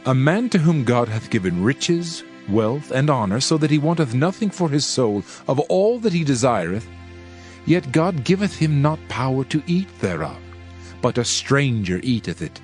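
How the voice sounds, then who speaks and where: A man giving a dramatized scripture reading with a solemn, measured delivery. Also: medium pitch, very animated, darkish tone. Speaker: scripture reader. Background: faint background music.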